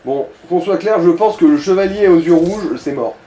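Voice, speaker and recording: Mellow Frenchman coaching in an echoey room. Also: high voice, moderate pace. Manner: explanatory, coarse slang. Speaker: gaming co-commentator guiding the player. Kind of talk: casual let's play commentary. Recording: roomy echo, background noise.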